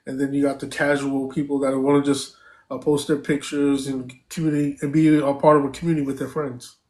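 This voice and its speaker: A man's voice: medium pitch, little pitch movement, brisk, darkish tone. A man hosting a live stream, chatting casually, thanking viewers and stopping often with fillers.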